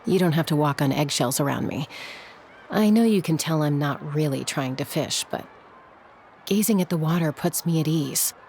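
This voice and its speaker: A subdued, dutiful young woman from a video game. Her voice has a creaky, gravelly edge and a reserved, sincere delivery weighted with grief. Faint ambient noise lies behind it. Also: deep voice, moderate pace, darkish tone. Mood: withdrawn, wistful. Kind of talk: scripted video game voice acting.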